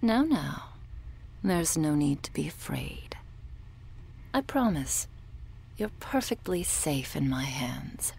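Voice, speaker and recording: A cold, sadistic woman medic played by a woman in an ASMR roleplay. She speaks slowly and low, with a husky breath and condescending authority that carries quiet menace. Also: very animated, full character voice, rough recording. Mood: falsely soothing. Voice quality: breathy, husky, often near whisper. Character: cold, sadistic, domineering woman medic running a captive operation.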